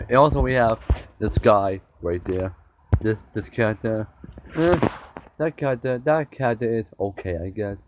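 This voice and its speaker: Rambling young man with a sore throat. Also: mid-range voice. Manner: rambling, repeats and restarts words. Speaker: TV show reviewer. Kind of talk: casual fan review vlog. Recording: dull lo-fi with faint noise.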